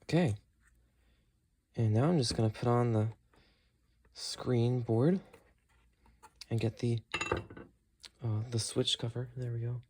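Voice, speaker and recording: A man's voice: mid-range voice, fairly even intonation, slow pace, pauses often, mellow, slight background noise. A man hosting a casual repair tutorial, thinking aloud as he works through each step. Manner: thinks aloud while working. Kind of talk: casual hands-on walkthrough.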